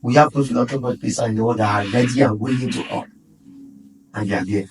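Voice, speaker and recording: A man's voice: medium pitch, fairly quick, noisy background. Earnest Nigerian man on a distant mic. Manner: earnest, exhorting. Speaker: podcast studio founder. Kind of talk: casual interview.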